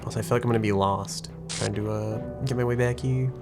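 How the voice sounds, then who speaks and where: A man streaming a puzzle game. He talks fast and low-key, with plenty of "like" fillers and a somewhat nasal voice, stumbling over words now and then. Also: fairly even intonation, over music. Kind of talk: casual gaming commentary, reading game text aloud. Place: home room with a desk.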